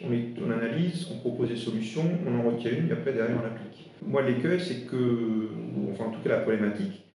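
A man's voice: mid-range voice, warm tone. Hesitant man in a very echoey room. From eastern France, Alsace. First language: Alsatian. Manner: halting, many fillers and restarts. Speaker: interim HR director. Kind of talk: podcast interview answers. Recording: very echoey room with faint noise.

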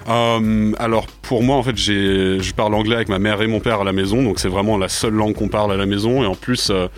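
A man's voice: lowish voice, steady tone, fairly quick. A man in his twenties, a native English speaker, answering a radio interview in a studio with many hesitations and fillers. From France. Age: 20s. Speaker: rapper guest.

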